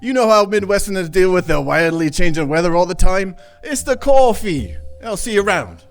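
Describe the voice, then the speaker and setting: A man puts on a sly street tipster from a noir spoof on radio. The voice is high and clear, with a shifty wise-guy manner and light music underneath. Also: lively intonation, moderate pace. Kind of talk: noir parody radio acting.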